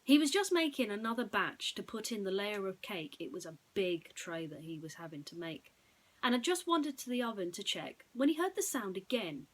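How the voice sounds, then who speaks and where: A woman's voice: medium pitch, sing-song, moderate pace, poor audio. Woman telling a suspenseful bedtime story.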